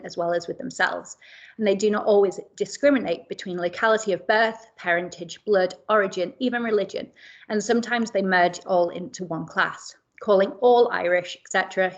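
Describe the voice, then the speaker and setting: A woman academic presenting a seminar paper in a measured way, often pausing with fillers and correcting herself mid-word. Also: mid-range voice. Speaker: academic speaker.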